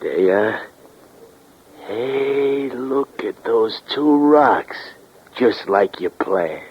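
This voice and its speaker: A man playing an impressed, slow-talking crook in a 1940s radio crime drama. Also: mid-range voice, animated, slightly rough, full character voice. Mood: awed, excited. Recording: dull old 1940s radio recording.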